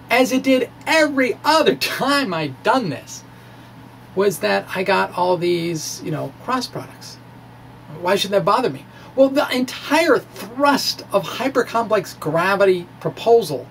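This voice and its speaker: Science vlogger, male, middle-aged. Informal, theatrical, halting delivery; some background room noise. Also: high-pitched. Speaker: science video blogger. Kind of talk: informal dramatic reading of a physics blog to camera. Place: small indoor room with bookshelves.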